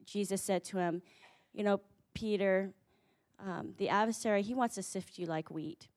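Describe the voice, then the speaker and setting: A woman's voice: medium pitch, normal speed, a little mellow. A woman host giving a heartfelt, faith-centered introduction of the next speaker, with frequent fillers. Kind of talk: warm spoken introduction.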